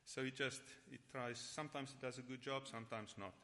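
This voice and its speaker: A man whose first language is Afrikaans leads a church Bible study and prayer, speaking haltingly with frequent restarts. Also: medium pitch, steady tone, pushed and bright. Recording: long hall reverb.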